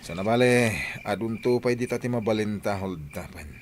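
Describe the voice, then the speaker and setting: An actor playing a stubborn, short-tempered husband turned crook in an Ilocano radio drama, blunt and agitated. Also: male voice, lowish voice, normal speed, a little hiss or hum, close to an everyday voice. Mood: scheming.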